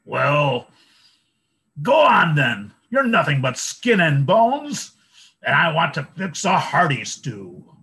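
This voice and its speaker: A man voicing a selfish, greedy male troll in a storybook reading, roaring his threats in a high, shrieking voice. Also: sing-song, takes it slowly, sharp tone, exaggerated voice.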